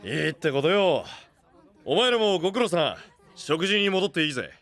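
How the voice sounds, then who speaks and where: A man playing a rough-talking but big-hearted young guardian and gang boss in an anime voice drama, blunt and reassuring. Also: on the high side, sing-song, moderate pace, a bit bright. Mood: relaxed, reassuring. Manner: blunt, tough street talk, reassuring.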